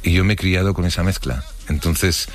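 A middle-aged man from Barcelona with a deep voice and a Spanish accent from Spain. He tells his memories casually, pausing and searching for words in a reflective way. Faint music plays underneath. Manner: colloquial, halting, reflective. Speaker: interviewee telling his life story. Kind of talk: casual spoken recollection.

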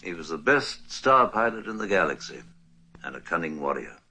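An older actor playing a wise old hermit knight, speaking in a calm, measured way. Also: male voice, sits low, slight background noise, heavily acted.

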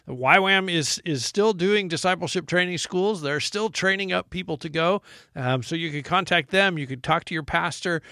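A man's voice: high voice, normal speed, pushed and bright, no echo, clean studio sound. A man with an American accent hosting a conversational radio interview in an earnest, encouraging way. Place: radio studio.

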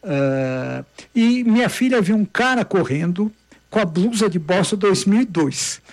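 Man, older, from brazil, high voice, dry close sound, animated storyteller with restarts. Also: unhurried, no room sound. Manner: animated anecdotes with frequent restarts. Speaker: guest marathon runner. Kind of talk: casual storytelling.

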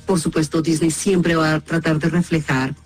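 A woman's voice: sits low, fairly even intonation, normal speed. Colombian woman interviewed over music. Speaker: voice actress, interview guest. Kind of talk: explanatory, anecdotal interview talk.

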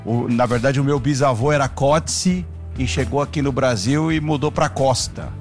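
A man's voice: mid-range voice, moderate pace, faint music behind. A Brazilian man, a panelist on a sports show, chatting casually. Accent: Brazilian Portuguese. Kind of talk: casual chat.

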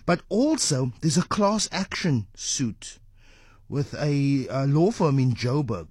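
A man's voice: mid-range voice, very animated, slow, a little mellow, breathy edge, no room sound, studio quality. A man with a South African accent, a talk show host previewing his programme in a conversational way.

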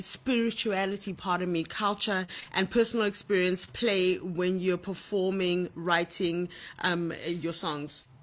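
A woman's voice: mid-range voice, fairly bright tone. Woman, Ugandan accent, radio host, bubbly, upbeat interviewer. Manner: bubbly, upbeat, quick to laugh. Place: studio in Ghana.

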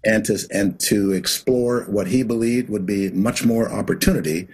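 A man from Pittsburgh speaks as an interview guest in a relaxed, conversational way. His voice is very deep and heavily husky. He stumbles and restarts often, with many fillers. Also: moderate pace. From Pittsburgh, United States. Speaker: interview guest, executive coach. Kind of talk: casual conversational storytelling.

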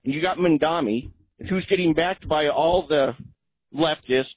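A male caller to a talk radio show, heard over a thin phone line. His voice is husky and a little high, and he rambles earnestly with many fillers. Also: unhurried, dry recording.

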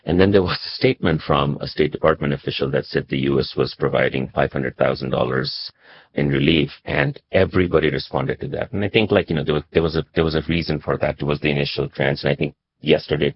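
Male journalist guest from Nepal, very deep and husky, reflective, conversational explainer. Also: fairly quick, highs cut off. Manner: reflective, explanatory, often checks with "right?" and "you know". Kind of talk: conversational interview commentary.

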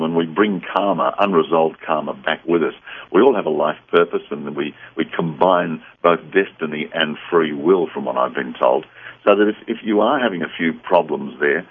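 A man with an Australian accent and a husky voice, speaking earnestly as a guest on a radio talk show. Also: deep voice, expressive, normal speed. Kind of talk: earnest interview conversation. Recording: dull phone-like radio line.

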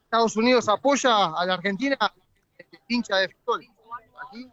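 A Rioplatense woman reporting live from the street, chatting briskly with fans. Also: on the high side, a bit bright, lo-fi sound. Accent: Rioplatense Spanish.

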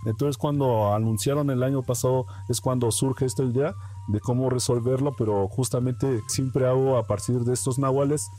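A man with a Mexican Spanish accent, a guest painter speaking calmly in a radio interview, with some fillers. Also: mid-range voice. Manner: calm, unhurried, many fillers.

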